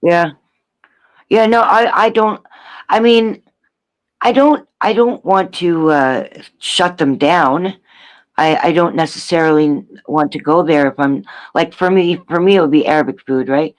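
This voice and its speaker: A man from Canada with a Canadian accent, chatting casually on a panel in a halting way, repeating phrases. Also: on the high side. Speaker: panel participant.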